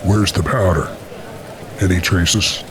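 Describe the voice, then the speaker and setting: A man playing a hard-boiled, world-weary dragon detective in a noir radio play, deadpan and terse. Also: low voice, slow, a crowd in the background. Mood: terse, wry.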